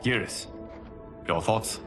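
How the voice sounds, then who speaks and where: An actor playing a solemn, noble warrior leader in a video game, speaking in a formal, measured and grave way. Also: male voice, over music, natural voice. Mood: grave, resolute. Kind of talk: dramatic video game acting.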